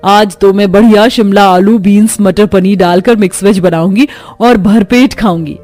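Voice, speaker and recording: A woman's voice: medium pitch, steady tone, normal speed, darkish tone, lightly acted. A woman voicing an exasperated, dutiful young daughter-in-law in a cartoon story. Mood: planning. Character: harried, grumbling young daughter-in-law cook. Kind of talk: acted moral-story cartoon.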